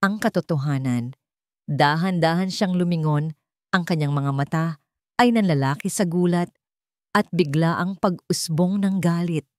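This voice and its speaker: Low-voiced woman telling a story. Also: measured pace, darkish tone, no echo, clean studio sound. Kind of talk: scripted dramatic story narration.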